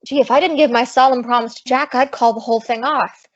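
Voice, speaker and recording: A woman's voice: on the high side, steady tone, fast talker, natural voice. An actress playing a brassy, wisecracking young American showgirl with a slangy, sassy manner in a radio comedy. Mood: indignant, sassy. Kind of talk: 1950s radio comedy acting. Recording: dull old-time radio recording.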